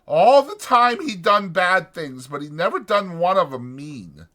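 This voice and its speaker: A man's voice: high-pitched, expressive, a little slow, fairly bright tone, voice pushed up. A man voicing a weary, resigned but protective ranch worker in an audiobook, with blunt, ungrammatical rural working-man speech. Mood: earnest. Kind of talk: dramatized audiobook character voice.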